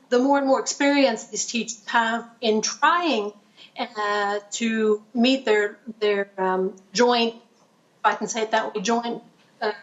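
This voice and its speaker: Woman researcher, remote panelist, fairly high voice, hesitant, muffled call audio. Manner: hesitant, searching for phrasing. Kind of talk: answering an audience question. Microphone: video call. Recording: dull lo-fi video call audio.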